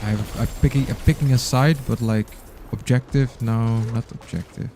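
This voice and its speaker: A man's voice: mid-range voice, normal speed, background noise. A man with a Dutch accent giving casual gameplay commentary as a streamer, rambling and sometimes searching for words. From Netherlands. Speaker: game streamer.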